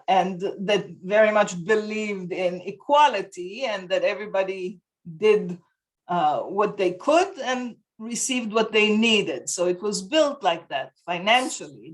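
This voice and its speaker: A middle-aged woman with an Israeli accent talks at an unhurried pace, often pausing to find the right word. Her voice sits mid-range, heard over a laptop mic on a video call from a room at home. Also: mid-range voice. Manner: thoughtful, searches for words, laughs easily. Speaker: guest. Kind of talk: casual interview.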